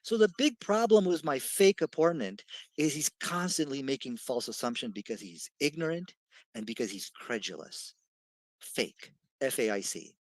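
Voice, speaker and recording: Lively, assertive English-accented debater. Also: male voice, mid-range voice, normal speed, no room sound. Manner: assertive, dismissive, rhetorical questions. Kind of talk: online debate.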